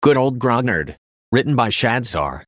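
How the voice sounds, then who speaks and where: A synthetic text-to-speech male voice reading scripted commentary as a video host, in a flat, measured way. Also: medium pitch, no room sound, clean studio sound. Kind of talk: scripted narration.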